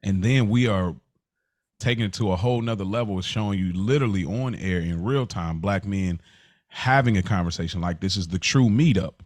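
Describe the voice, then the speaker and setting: A man with an American accent and a lowish voice chats as a podcast co-host. His delivery is informal and reflective, recorded close with very little room sound. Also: a little fast, darkish tone, no room sound. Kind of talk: casual conversational podcast talk.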